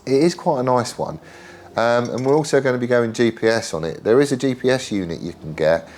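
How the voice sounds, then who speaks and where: A man's voice: mid-range voice, a little fast, a little mellow, a little hiss or hum. A middle-aged man with a head cold, chatting casually and rambling through a workshop update with plenty of ums and local slang. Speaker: motorcycle builder and channel host. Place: home workshop.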